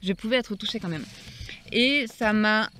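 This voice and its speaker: A woman's voice: medium pitch, a little slow, slight background noise. A woman hosting a poetry channel, talking reflectively and searching for her words as she goes. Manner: thoughtful, searching for words, soft-spoken.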